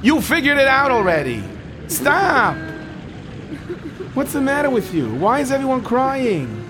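A stern but caring camp counselor. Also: male voice, very high-pitched, huge pitch swings, unhurried, background noise, full character voice. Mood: scolding. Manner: scolding, authoritative. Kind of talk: children's audio drama.

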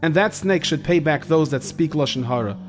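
An actor playing a stern but caring camp counselor who scolds the boys with authority in a children's audio drama. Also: male voice, a little high, a little fast, over music, natural voice. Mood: scolding.

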